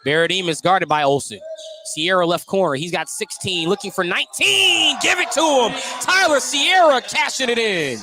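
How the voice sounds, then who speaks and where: An American man doing live radio play-by-play of basketball, with clipped running descriptions and drawn-out calls on baskets. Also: sits high, lively intonation, bright, forceful tone. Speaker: play-by-play commentator. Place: courtside in a basketball gym. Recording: some noise, gym ambience.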